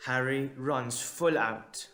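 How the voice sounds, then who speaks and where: Male narrator for fantasy audio play: mid-pitched, very unhurried, suspenseful, deliberate pauses, light music bed. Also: fairly bright tone. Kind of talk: dramatic scripted audio story narration.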